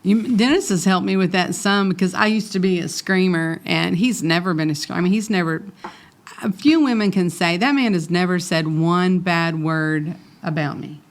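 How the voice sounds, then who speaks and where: A woman's voice: on the low side, moderate pace. Earnest woman hosting a faith talk. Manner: earnest, personal, testimony-like. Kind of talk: casual conversation.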